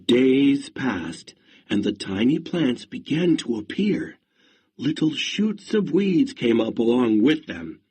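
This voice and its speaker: Brief: male read-along narrator, expressive, husky, slow pace. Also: mid-range voice. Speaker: story narrator.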